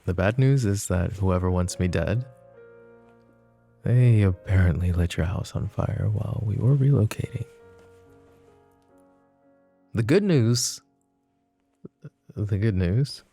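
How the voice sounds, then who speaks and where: Man playing a deep, gravelly, wry mafia boss. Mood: gentle, apologetic. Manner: sardonic, soft-spoken, slow pauses, swearing and sighs. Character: flirty, possessive, wry male mafia boss. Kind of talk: intimate ASMR roleplay spoken to the listener.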